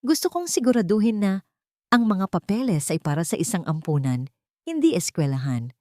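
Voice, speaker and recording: A woman's voice: medium pitch, sing-song, normal speed, warm tone, dry recording, studio quality, close to an everyday voice. A woman voicing a scheming, cold-hearted rich stepmother whose sweet voice hides steel, in an audio story. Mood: cold commanding. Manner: sweet on top, icy and commanding underneath.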